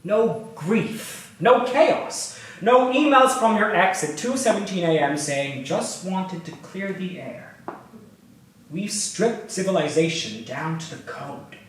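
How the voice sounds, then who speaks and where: An actor, a man, playing a glib, excitable tech founder in a staged reading, showy and sardonic in manner. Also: high-pitched, takes it slowly, full character voice. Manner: showy, sardonic, flustered asides. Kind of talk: theatrical monologue, staged reading. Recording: very echoey room, distant mic.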